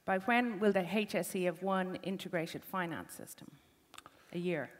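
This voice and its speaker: Brisk woman interviewer, lowish voice. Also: animated. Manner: brisk, pressing for short answers. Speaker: interviewer, health policy analyst. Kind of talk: interviewing, quick-fire questions. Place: conference hall.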